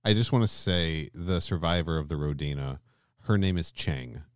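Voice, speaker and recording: Male podcast host, very deep bass voice, casual discussion, hedging and rephrasing, dry studio-like sound. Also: moderate pace, no echo. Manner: hedging, thinking aloud, circles back to rephrase. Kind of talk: casual discussion between co-hosts.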